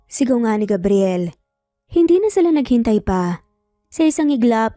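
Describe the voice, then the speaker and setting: Mellow woman narrating dramatic stories. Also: fairly high pitch, no room sound, clean studio sound. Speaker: storyteller. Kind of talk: dramatic scripted story narration.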